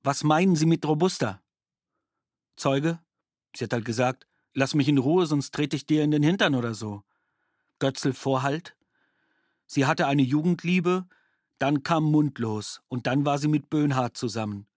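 An actor voicing a sober court reporter who reads out witness testimony in clipped, factual phrases for a documentary radio play. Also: male voice, a little high, unhurried, darkish tone, dry recording, studio quality, lightly acted. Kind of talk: documentary radio play, read trial protocol.

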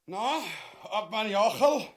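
Ein Schauspieler mit österreichischem Akzent spielt in einer grotesken Hörspielkomödie einen gefräßigen, feigen, vulgären Thronräuber, der derb und großspurig poltert. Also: male voice, high-pitched, lively intonation, takes it slowly. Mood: grumbling, relieved. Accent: Austrian. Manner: ranting, crude, bombastic. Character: gluttonous cowardly vulgar usurper Father Ubu. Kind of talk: grotesque comedy radio play acting.

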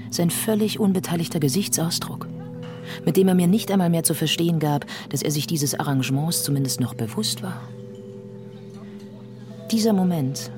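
An actress playing a disillusioned woman narrating her memories in a radio drama, dry, measured and wry. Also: low voice, close to an everyday voice. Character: disillusioned woman recalling a failed relationship. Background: music.

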